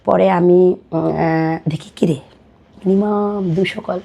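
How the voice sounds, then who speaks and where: A woman with a Bangladeshi accent telling her personal life story as a podcast guest, in colloquial, halting speech. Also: on the low side, fairly even intonation, vocal fry, mellow, slightly rough.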